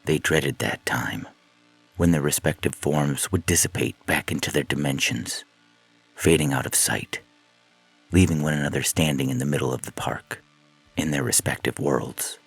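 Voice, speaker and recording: A man narrates short fiction in an extremely deep voice. The tone is husky and rough-edged, reading from a script. Faint music plays behind him. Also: rough recording. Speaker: story narrator.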